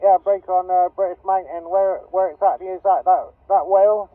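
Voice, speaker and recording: Distant, static-heavy CB radio male, high voice, casual operator relaying calls. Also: fairly even intonation, normal speed, narrow, tinny audio. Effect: CB radio transmission.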